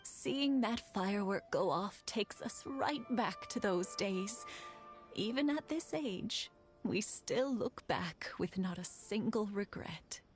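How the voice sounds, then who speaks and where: An actress playing a fond elderly wife in a video game, reflective and gently teasing. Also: female voice, mid-range voice, expressive, faint music behind. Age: older. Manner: unhurried, reflective.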